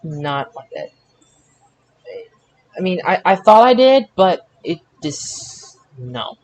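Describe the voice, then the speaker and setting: A man with a fairly high voice hosts a casual sports recap podcast. He talks haltingly, doubling back on words as he pieces details together. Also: sing-song, rough recording. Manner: halting, repeats words while recalling details. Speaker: podcast host. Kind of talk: casual wrestling results recap.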